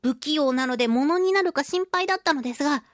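A woman hosting a solo radio talk show, chatting and reading out listener mail with animated, playful reactions. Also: high voice, fast, no room sound, clean studio sound. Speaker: radio show host, voice actress.

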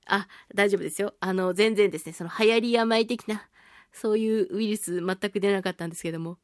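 A woman voice actress hosting a chatty solo radio show, slightly nasal from a mild head cold, with an animated, playful and self-deprecating manner. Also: medium pitch, brisk, dry recording, studio quality. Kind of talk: chatty solo radio talk with listener mail.

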